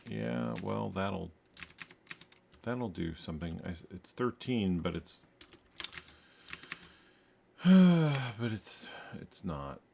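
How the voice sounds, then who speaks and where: A low, gravelly male voice talks slowly, half to himself, as he works through game code. He stops, restarts and corrects himself mid-thought while he debugs. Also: very animated, noisy background. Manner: muttering to himself, halting and self-correcting. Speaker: game programmer. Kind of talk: thinking aloud while coding.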